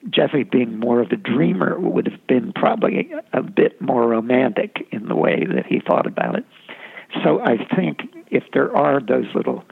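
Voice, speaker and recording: A man, a novelist, talking reflectively about his writing in an interview, halting often with fillers and restarts. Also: mid-range voice, vocal fry, slightly rough. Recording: tinny phone-line sound.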